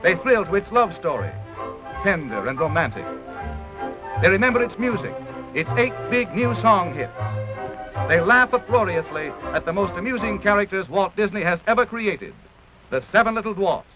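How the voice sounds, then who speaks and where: A man's voice: high-pitched, animated, normal speed. An American man narrating a 1930s promotional film in a formal, grandiose announcer style from a script. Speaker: documentary narrator. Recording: dull vintage 1930s film soundtrack, music. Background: orchestral music.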